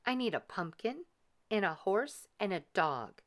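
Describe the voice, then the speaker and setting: A woman's voice: mid-range voice, big pitch swings, a little slow. A woman voicing a kindly, bustling little fairy godmother from a storybook, exclaiming and coaxing sweetly. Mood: musing, bustling.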